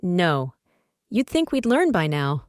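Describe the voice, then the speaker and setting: Woman as a very animated, frank warplane girl. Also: lowish voice, slow. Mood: sympathetic. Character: lively, sympathetic female warplane. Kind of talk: scripted animated web series dialogue.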